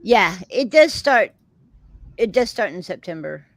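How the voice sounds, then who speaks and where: A woman's voice: medium pitch, sing-song, measured pace, dry recording. A middle-aged woman with a Texan accent, hosting a casual chat with viewers in a rambling, chatty way and laughing often. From Texas. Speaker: host of a live chat show.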